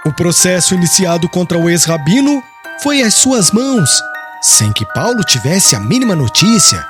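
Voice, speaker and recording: A man's voice: high voice, big pitch swings, normal speed. A man narrating an audiobook in a solemn, formal storytelling manner. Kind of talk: scripted literary narration. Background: music.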